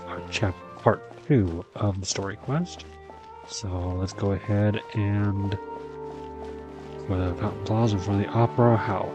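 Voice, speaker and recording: A man's voice: on the low side, dull audio. A man hosting a gameplay video with casual commentary, sometimes reading dialogue aloud. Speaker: gaming channel host. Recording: game music behind the voice.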